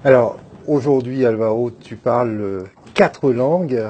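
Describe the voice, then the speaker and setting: A man's voice: medium pitch, measured pace, warm tone, dull audio, slight background noise. A man hosting a radio interview, asking short questions and prompting his guest. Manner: short prompts and back-channel replies.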